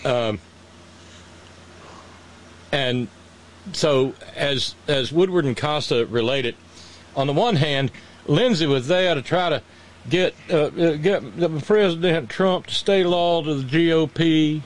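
A man's voice: on the high side, slow, pauses often, poor audio. A man hosting a casual political chat, sarcastic and mocking, often restarting his sentences. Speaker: podcast host.

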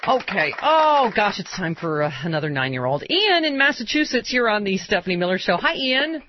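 A woman's voice: mid-range voice, very animated, a little hiss or hum. Wry fifty-year-old woman radio host. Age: 50s. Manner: wry, self-mocking, quick quips. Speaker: radio talk show host. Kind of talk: casual radio chat with callers. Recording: dull radio broadcast sound.

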